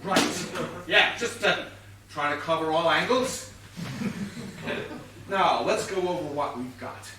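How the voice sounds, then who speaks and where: An actor playing a bumbling, overconfident police inspector in a comic stage whodunit, blustering and slangy in manner. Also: male voice, fairly high pitch, lively intonation, slow, long pauses. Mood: blustering, covering up. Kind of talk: comic stage play acting. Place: theatre stage, mic far off. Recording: distant, echoey theatre stage recording, faint hiss.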